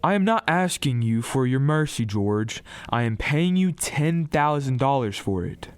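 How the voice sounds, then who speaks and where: A man's voice: mid-range voice, lively intonation, a little slow, clean tone, dry recording, natural voice. A man acting a stern, scheming older lawyer father in a radio drama, speaking formally and insistently. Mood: stern, threatening.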